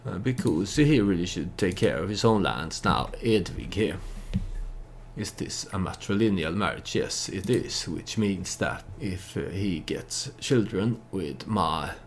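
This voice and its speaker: A man's voice: deep voice, expressive, a little slow, a little hiss or hum. A man giving casual, storytelling commentary on a game he plays, hesitant and thinking aloud as he goes. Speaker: let's play host and storyteller.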